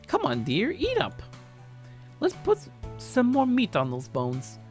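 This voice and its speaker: A man voicing a doting, energetic grandmother of about eighty in a story, playfully crafty and coaxing. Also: very high-pitched, unhurried, faint music behind, pitched above the performer's own voice, full character voice. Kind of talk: character voice in a story reading.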